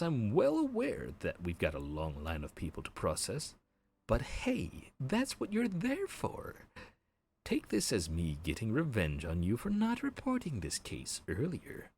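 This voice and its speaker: A man's voice: fairly high pitch, gravelly, darkish tone, slightly rough, heavily acted. A man voicing a friendly, slightly flustered grim reaper supervisor who talks in an awkward, bantering way. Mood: teasing. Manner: chatty, bantering and a bit awkward. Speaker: voice actor. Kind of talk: audio roleplay acting.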